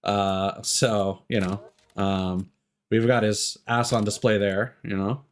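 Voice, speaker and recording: Male game streamer, low register, loose rambling commentary with dry, sweary humor. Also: level tone, slow. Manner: rambling, sweary, dryly joking. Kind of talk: casual live gameplay commentary.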